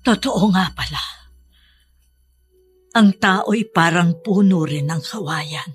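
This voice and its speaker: A woman playing a sorrowful, reflective older aunt in a Filipino radio drama, halting and pensive, with a Filipino accent. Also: lowish voice, faint music behind. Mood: pensive, wistful.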